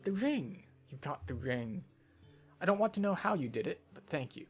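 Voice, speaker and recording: A man's voice: fairly high pitch, sing-song, moderate pace, muffled recording, faint music behind, pitched above the performer's own voice. A man voicing a grateful, homesick quest giver in a fantasy video game, emphatic and relieved.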